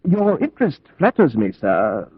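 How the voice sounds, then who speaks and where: An actor playing a pompous, dismissive doctor in a vintage radio drama, fussy and condescending. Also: male voice, medium pitch, huge pitch swings, normal speed, breathy edge, no room sound. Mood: dismissive, smug. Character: pompous, dismissive hospital doctor humouring a colleague. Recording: dry, tinny old radio recording.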